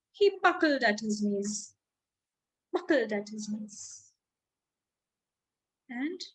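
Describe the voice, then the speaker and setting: A woman with an Indian accent who speaks deliberately, as a children's storyteller addressing young listeners expressively. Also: high voice, fairly bright tone. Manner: slow, deliberate, child-directed delivery with drawn-out words. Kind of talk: expressive read-aloud for children.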